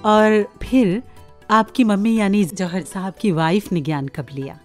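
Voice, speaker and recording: A woman's voice: medium pitch, unhurried, faint music behind. A woman hosting a conversational devotional radio interview, listening attentively and affirming what her guests say. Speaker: interviewer and programme host.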